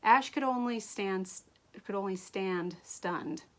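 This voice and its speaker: A woman author reading her own story aloud in an animated way, now and then stumbling and rereading. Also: medium pitch, slow. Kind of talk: expressive read-aloud storytelling.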